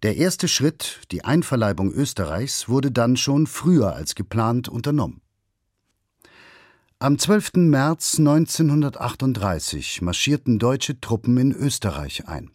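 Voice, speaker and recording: A man's voice: medium pitch, very animated, unhurried, a little mellow, dry recording, clean studio sound. A man reading a scripted historical documentary as its narrator.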